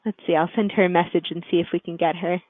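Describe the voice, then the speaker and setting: A woman's voice: lowish voice, steady tone, fast talker, dry recording. Woman hosting over a thin phone-like line. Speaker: webinar host. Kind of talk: informal moderating of an online webinar. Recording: thin, dry phone-like call audio.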